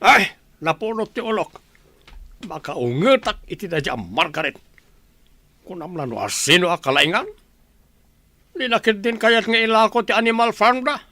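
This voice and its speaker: An exasperated aging Filipino village father, acted by a man for a radio drama. The voice is high, bright and very dry, and he grumbles and argues like a fed-up husband. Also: very animated, moderate pace, long pauses, dry recording, full character voice. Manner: complaining, argumentative. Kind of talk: Ilocano radio drama acting.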